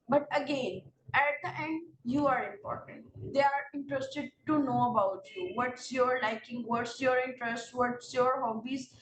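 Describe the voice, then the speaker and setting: A woman with a Pakistani English accent, an exam-prep instructor giving earnest, direct advice to candidates and pausing often on fillers. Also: a little high, a little hiss or hum. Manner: earnest, direct advice in second person, many filler pauses. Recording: roomy, distant mic with faint ambience.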